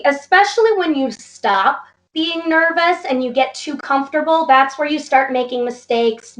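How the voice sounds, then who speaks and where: A woman guest in a casual interview, a little hoarse, talking in an upbeat, eager and gracious way. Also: sits high, reverberant, poor audio. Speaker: interview guest, wrestling entertainer and actor.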